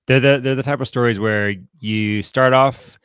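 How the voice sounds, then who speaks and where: A man co-hosting a casual book discussion, speaking haltingly and repeating words as he thinks aloud. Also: mid-range voice, steady tone, a bit bright, clean tone, no room sound, studio quality. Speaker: podcast co-host and writer.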